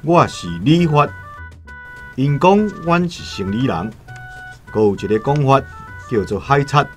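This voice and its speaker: An actor playing a reflective seafaring trader in a radio drama, with a Taiwanese accent and measured storytelling. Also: male voice, mid-range voice, expressive, close to an everyday voice. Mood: reflective, nostalgic. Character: male seafaring trader, reflective and matter-of-fact. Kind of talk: radio drama monologue and dialogue. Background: faint music.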